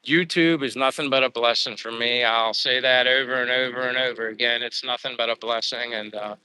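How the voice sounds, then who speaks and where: A man's voice: medium pitch, fairly even intonation, brisk, sharp tone, clean tone, rough recording. Upbeat Pennsylvania man chatting on a livestream. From United States. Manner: rambling, upbeat, thanking viewers. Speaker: livestream host. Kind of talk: casual livestream chat reading viewer comments. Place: living abroad.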